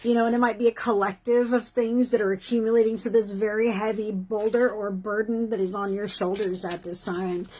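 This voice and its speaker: A woman reading tarot horoscopes in a casual, encouraging way, her voice worn and hoarse from doing many readings. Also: mid-range voice, steady tone, brisk, hardly any pauses, mellow. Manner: chatty, encouraging, many fillers like "you know" and "okay". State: losing her voice. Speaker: tarot reader.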